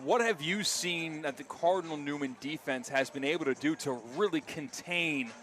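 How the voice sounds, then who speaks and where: A man's voice: on the high side, moderate pace, a bit bright. A man calling a live football broadcast as play-by-play announcer, with emphatic, wordy sportscaster phrasing. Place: outdoor stadium broadcast position. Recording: live stadium broadcast with crowd noise. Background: stadium crowd.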